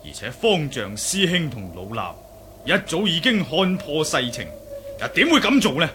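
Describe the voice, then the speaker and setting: A man with a Cantonese accent playing a solemn elderly Shaolin monk elder, formal, pious and measured, in a martial arts radio drama. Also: a little high, sing-song, background noise. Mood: grave, earnest.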